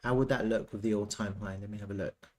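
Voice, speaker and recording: A man giving casual, off-the-cuff chart commentary as a trading analyst, thinking aloud haltingly and repeating himself. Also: on the low side, fairly even intonation, darkish tone.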